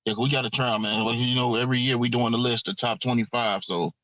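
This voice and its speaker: A man talks quickly in a slangy, easygoing way as host of a podcast. His voice sounds dry and close, with little room around it. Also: medium pitch, fairly even intonation, fairly bright tone, no echo, rough recording. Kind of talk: casual chat.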